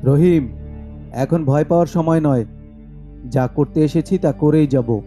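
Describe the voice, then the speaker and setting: A man's voice: a little high, unhurried, mellow, faint music behind, natural voice. A man voicing a bold, stubborn young apprentice magician in a horror cartoon, insistent and dismissive of fear. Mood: determined.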